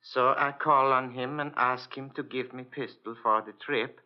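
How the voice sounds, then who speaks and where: Obliging Scandinavian ship's purser played by a man, halting broken English, mid-pitched, dull vintage radio sound. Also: steady tone, close to an everyday voice. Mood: polite, hesitant. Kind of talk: 1940s radio drama acting. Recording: dull old radio recording.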